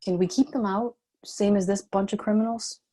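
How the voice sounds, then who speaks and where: A woman's voice: mid-range voice, steady tone, moderate pace, mellow, natural voice. An actress in a screenplay table read playing a tough, defiant, protective female bounty cop with a firm, curt manner. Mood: worried resolve.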